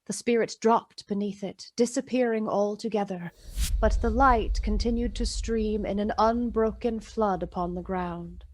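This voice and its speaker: Medium-pitched female storybook narrator. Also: a little mellow.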